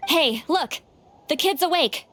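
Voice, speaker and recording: A woman's voice: high voice, expressive, slow pace, a bit bright, slight background noise, exaggerated voice. A woman voicing an eager, friendly young girl in a video game. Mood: excited. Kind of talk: video game character acting.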